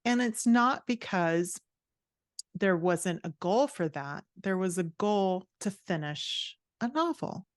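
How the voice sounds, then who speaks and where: A woman's voice: mid-range voice, expressive, slow, no echo. Woman writing coach chatting on a podcast, blunt and emphatic, mid voice, really dry sound. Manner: emphatic, blunt, acts out quotes. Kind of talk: conversational interview, giving advice.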